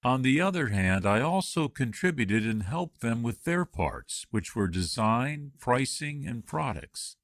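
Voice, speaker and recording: A man reads a candidate's answers in a scripted job-interview practice dialogue. His voice rises and falls a lot, and his delivery stays clear and measured. The recording is close and dry. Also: medium pitch, big pitch swings, no room sound.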